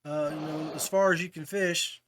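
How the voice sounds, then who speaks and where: Man, very high voice, middle-aged, chummy podcast host, folksy casual interviewing. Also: steady tone, normal speed, background noise.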